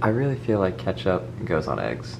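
A low-voiced man talks casually in a kitchen. He sounds distant from the mic, with clear noise around him. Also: huge pitch swings, normal speed. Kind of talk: casual chat. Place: indoors, home kitchen.

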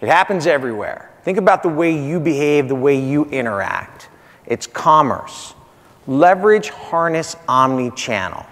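A man in his forties giving a conference keynote in punchy short phrases, repeating key points and checking in with "right?". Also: medium pitch. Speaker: keynote speaker, marketing executive. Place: large hotel conference stage, indoors. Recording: reverberant conference hall.

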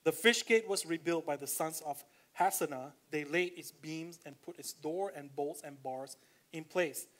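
A pastor with a Malaysian accent delivers a relaxed, conversational sermon in a church, reading scripture aloud. His voice sits somewhat high for a man. He talks with plenty of fillers and slows down when he wants a point to land.

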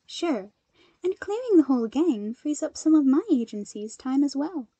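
A woman's voice: high-pitched, big pitch swings, normal speed, warm tone, no echo, voice pushed up. A woman voicing a poised, friendly and serene young woman hero, polite and composed.

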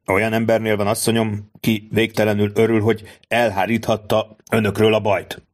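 A composed nobleman's voice, read by a man, calm and dignified with formal courtesy. Fairly low in pitch, steady. Sounds like a classic novel read aloud. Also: lightly acted. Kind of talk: audiobook reading of a classic novel.

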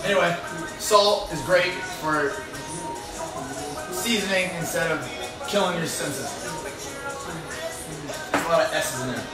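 A young man chatting casually as he hosts a home cooking video, laid-back and rambling, with jokey asides. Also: sits high. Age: young adult. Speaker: cooking show host, home chef. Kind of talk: casual chat while cooking. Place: home apartment kitchen. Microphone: phone on counter, a few steps away. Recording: roomy, echoey phone recording from far off.

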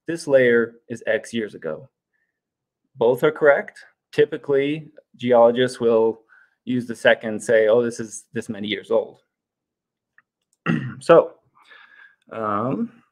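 A man in his thirties giving an informal debate presentation in a halting, explanatory way. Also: medium pitch. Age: 30s. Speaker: debater arguing for evolution. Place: indoors, on a video call.

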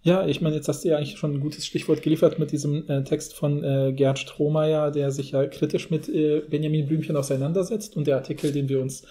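A man's voice: a little high, flat delivery, a little fast, few pauses, warm tone. A man hosting a podcast, retelling things in a casual, rambling, colloquial way. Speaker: podcast host.

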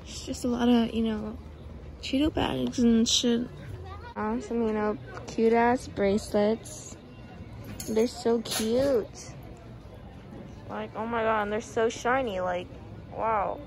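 A young woman chatting through a casual vlog, upbeat and full of filler words. Her voice sits a little high. She speaks into a phone held at arm's length. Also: steady tone, slow, long pauses, darkish tone, a little hiss or hum, poor audio. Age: young adult. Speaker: vlogger. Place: outdoor flea market, later indoors at home.

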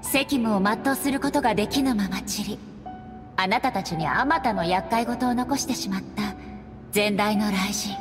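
A woman playing a gentle, kindly goddess in a game, speaking in an affectionate, softly feminine manner. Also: medium pitch, normal speed, big-room sound, close to an everyday voice. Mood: serene. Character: gentle, kindly late goddess, loving elder sister. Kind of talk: dramatic game voice acting. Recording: background music under the voice.

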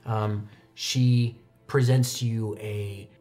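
A young man improvising tabletop game narration as game master in a casual, halting, conversational way. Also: lowish voice, little pitch movement, mellow, soft background music. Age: young adult. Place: indoor studio set.

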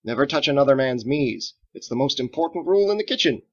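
Man playing a hammy, sly storybook cook, pitched up. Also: lively intonation, quick pace, highs cut off, natural voice. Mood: mock-dramatic. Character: playful theatrical cook who pretends at wizardry.

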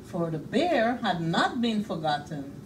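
A middle-aged woman reading a picture book aloud to young children in a deliberate, expressive way, pausing to comment on the pictures. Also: mid-range voice, recorded from a distance, a little hiss or hum, rough recording. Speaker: children's storyteller. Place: small indoor children's reading room.

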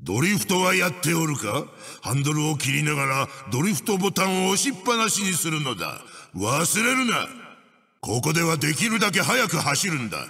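An evil witch-doctor mask spirit from a video game, rings out in a big reverberant space over music. He is bossy and menacing, with archaic, pompous commands. The pitch sits high for a male voice and swings widely. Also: sing-song, brisk, gravelly, pushed and bright, slightly rough, heavily acted. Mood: instructing, bossy. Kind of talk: video game character voice-over, giving racing instructions.

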